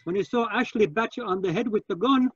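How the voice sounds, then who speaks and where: An actor playing a lively old Scotsman with a Scottish accent in an old-time radio detective play. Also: sits high, fast talker, husky, no echo, lo-fi sound. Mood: matter-of-fact, curious. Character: genuine old Scotsman who loves life. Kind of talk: 1940s radio detective drama acting.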